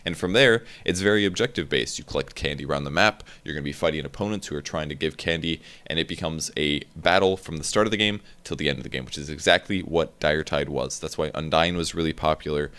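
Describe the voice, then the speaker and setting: A man's voice: extremely low pitch, fast, few pauses. A tired young man rambling casually in a gaming vlog, talking straight into a desk mic with lots of fillers. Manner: rambling, relaxed, filler-heavy. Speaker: gaming video creator.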